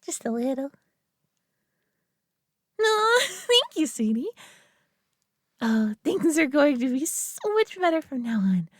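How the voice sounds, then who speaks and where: A woman's voice: sits high, very animated, takes it slowly, pauses often, dry recording, heavily acted. A woman voicing a prideful, doting alien who owns a human pet, teasing and condescending yet affectionate in an intimate audio roleplay. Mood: delighted, coaxing, then tender, hopeful. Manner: teasing, condescending, affectionate, often hushed and breathy.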